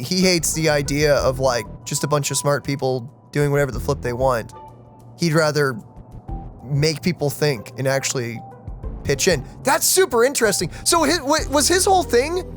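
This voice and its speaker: A gaming host chatting casually through a playthrough, informal and thinking aloud. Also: mid-range voice. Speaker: let's play host. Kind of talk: casual gameplay commentary and chat. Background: faint game music.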